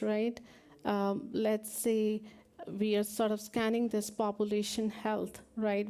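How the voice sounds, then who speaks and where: A woman answers audience questions after her talk at a tech conference. Her voice is mellow and gravelly, and it stays on a flat, level pitch. She talks softly and admits what she does not know with small nervous laughs. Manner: soft-spoken, laughs nervously, frank about not knowing. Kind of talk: live Q&A after a technical talk. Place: conference hall.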